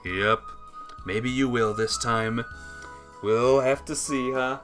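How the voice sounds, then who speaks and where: A man's voice: mid-range voice, fairly even intonation, slow pace, over music. A man streaming a visual novel, reading the text aloud dramatically and chatting casually with viewers.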